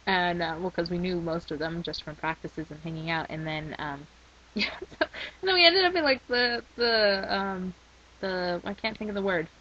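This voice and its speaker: A young woman rambling in a casual video diary, her voice worn from a week of shouting. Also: medium pitch. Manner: chatty and rambling. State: losing her voice. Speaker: vlogger. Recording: slightly dull lo-fi recording.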